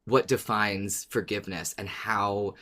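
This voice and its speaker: A man's voice: fairly low pitch, steady tone, unhurried, pushed and bright. A man co-hosting a podcast in casual discussion, speaking thoughtfully and circling back over his words. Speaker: podcast co-host.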